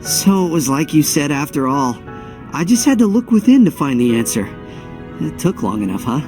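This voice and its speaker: An actor playing an earnest young hero in a game cutscene, reflective and heartfelt, with dramatic pauses. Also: male voice, fairly high pitch, big pitch swings, darkish tone, soft background music. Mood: sheepish, earnest. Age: young adult. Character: earnest young male hero, determined and wistful. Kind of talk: scripted game cutscene acting.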